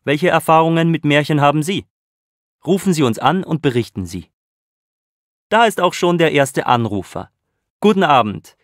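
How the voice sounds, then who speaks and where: A man playing a polite male radio host in a language-course listening exercise, speaking clearly. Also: a little high, lively intonation, normal speed, long pauses, no room sound, clean studio sound, natural voice. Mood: welcoming. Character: friendly male radio host, middle-aged. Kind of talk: scripted radio host dialogue.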